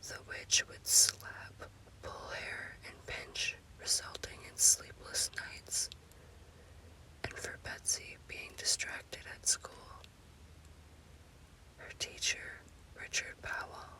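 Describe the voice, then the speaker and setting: A woman narrates a scary story as ASMR in an unvoiced, breathy whisper, in deliberate short phrases. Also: sharp tone, rough recording. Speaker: storyteller, host.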